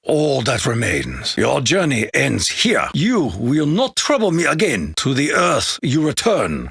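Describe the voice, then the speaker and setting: An actor playing a solemn, proud Japanese warrior in a video game, with a gruff voice, a Japanese accent and grave, formal, archaic phrasing. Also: male voice, on the low side, a bit bright, dry recording, studio quality, heavily acted. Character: solemn, proud Japanese warrior soldier, honor-bound and menacing. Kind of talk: video game character battle lines.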